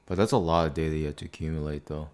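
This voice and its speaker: A sleepy young man hosting a podcast, thinking before he speaks, with long pauses and restarted words. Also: a bass voice, fairly even intonation, darkish tone, clear, resonant tone. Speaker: podcast host. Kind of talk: casual podcast chat.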